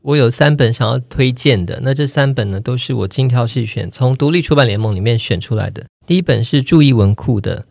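A man's voice: medium pitch, gravelly, a little mellow, dry recording, clean studio sound. A man from southern Taiwan with a Taiwanese Mandarin accent, chatting casually as a podcast guest. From Kaohsiung, Taiwan.

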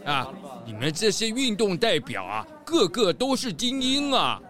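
Excitable animal guest, high-pitched, swooping and exclamatory, children's mystery with music. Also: male voice, a little slow, heavily acted. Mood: impressed. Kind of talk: children's audio drama.

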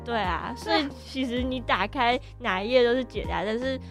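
A woman's voice: fairly high pitch, moderate pace, soft background music. A woman co-hosting a podcast in a casual, chatty style. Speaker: podcast co-host. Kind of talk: casual chat.

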